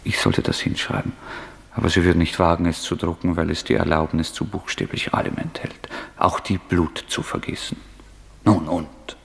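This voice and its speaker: A man's voice: deep voice, fairly even intonation, normal speed, creaky, fairly bright tone. Reverberant, intense radical man. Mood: defiant. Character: intense male intellectual radical, fervently preaching his theory of extraordinary men. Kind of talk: 1960s German radio drama acting. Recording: long hall reverb on voice.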